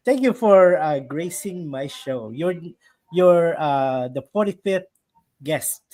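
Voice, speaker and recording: A bubbly Filipino man hosts a casual live chat from his upstairs room at home, laughing often and mixing Tagalog phrases into his English. His voice sits a little higher than most men's. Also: lively intonation, slow, darkish tone. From Philippines. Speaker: host.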